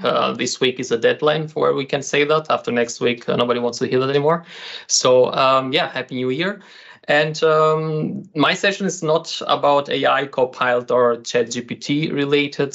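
A man's voice: medium pitch, fairly bright tone, lo-fi sound. A man in his thirties, from Albania, presenting a session on an online community call, hesitant with many fillers and repeated words. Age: 30s. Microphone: computer mic on a video call.

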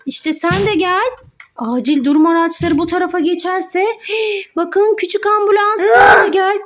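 Woman, very high voice, playful toy-video narration, exclamatory, sing-song, talking to children. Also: moderate pace, noisy background.

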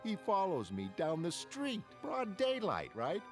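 A man's voice: high-pitched, wildly swooping pitch, a little slow, pitched above the performer's own voice, full character voice. A man voicing a sardonic, impatient young woman, a grown daughter with a blunt, colloquial manner, in a comic-book drama. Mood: amused. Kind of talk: dramatic comic-book narration in character voices. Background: music.

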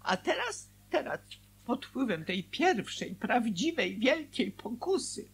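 An anguished small-town wife in a radio play. Her voice is husky and very animated, swinging widely in pitch as she accuses her husband with theatrical feeling. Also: slow pace, exaggerated voice. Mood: bitter, anguished. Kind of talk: Polish radio drama acting.

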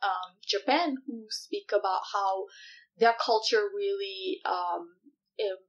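A woman in her thirties, originally from Malaysia, speaking as a startup CEO in an in-person interview. She talks thoughtfully, filling pauses with ums and breaking into easy laughter. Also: medium pitch, dull audio. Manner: thoughtful, with many fillers and laughs.

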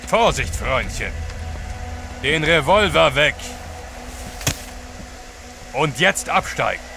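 An actor playing a menacing Tatar warrior in an audio drama, commanding and threatening. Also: male voice, on the high side, big pitch swings, slow pace, creaky, sharp tone, slight background noise, heavily acted. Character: menacing Tatar warrior barking orders at captives.

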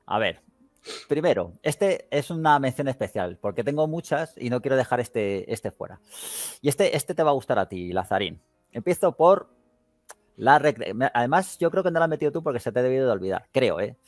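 A man's voice: medium pitch, sing-song, fairly quick, no room sound. Castilian Spanish man, close dry sound over music. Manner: colloquial, slangy. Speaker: gaming podcast host. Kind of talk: casual chat.

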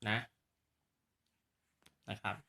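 A man's voice: extremely slow, pushed and bright. A man giving a casual hands-on product demonstration to viewers, explaining politely and often checking that they follow. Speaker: presenter of radio gear demo on his own video channel.

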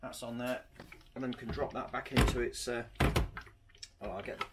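A man's voice: medium pitch, level tone, normal speed. Guy in his forties, casual reviewer who narrates every step, camera mic, noticeable background noise in a garage. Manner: chatty, talks himself through each step. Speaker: product reviewer. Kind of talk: casual hands-on product review. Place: home garage workshop, indoors. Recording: noisy garage recording.

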